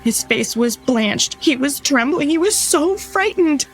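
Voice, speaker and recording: A woman's voice: high-pitched, lively intonation, unhurried. An actress playing a frightened, worried mother giving an earnest, distressed account in an audio drama. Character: frightened, worried mother of missing young son. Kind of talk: emotional audio drama testimony. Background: music under the voice.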